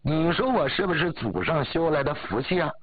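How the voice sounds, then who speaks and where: A man voicing a proud, grateful old village grandmother who brags about her daughter-in-law, his voice husky. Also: on the high side, very animated, no room sound, voice pushed up. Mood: boastful. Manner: boastful, exclaiming, drawn-out sentence endings. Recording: tinny phone-like audio.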